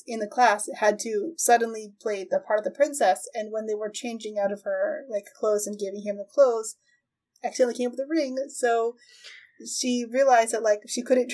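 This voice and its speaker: A woman hosting a podcast, chatting casually in a halting, giggly way as she recaps a show. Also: a little high, steady tone, warm tone, rough recording. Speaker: podcast host. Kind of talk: casual chat, recapping an anime.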